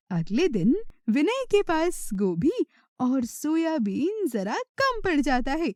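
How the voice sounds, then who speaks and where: A woman's voice: high-pitched, a little mellow, no echo, studio quality. A woman narrating a children's moral story in a scripted storytelling style.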